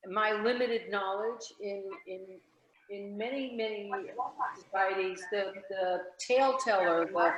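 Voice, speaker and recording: Echoey, halting woman in conversation. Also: mid-range voice, slight background noise. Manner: halting, searching for words. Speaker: book club discussion participant. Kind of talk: casual discussion talk. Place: virtual discussion video call. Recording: echoey room on video call.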